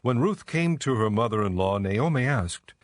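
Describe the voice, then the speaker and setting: A man narrating scripture from a script in a measured, solemn manner. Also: mid-range voice, lively intonation, no room sound, clean studio sound.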